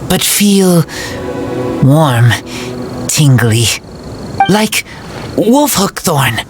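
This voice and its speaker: A man voicing a feral young wolf boy in a video game, blunt and shy, in halting broken phrases. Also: fairly high pitch, sing-song, music in the background, exaggerated voice. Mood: shy, sincere. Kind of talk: video game character acting.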